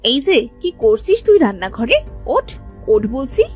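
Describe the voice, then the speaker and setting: Woman voicing a high, expressive cartoon elder sister. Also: normal speed, husky, faint music behind, exaggerated voice. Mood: scolding. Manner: expressive, fussing, motherly. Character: caring, scolding elder sister, poor young village woman. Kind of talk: animated moral story voice acting.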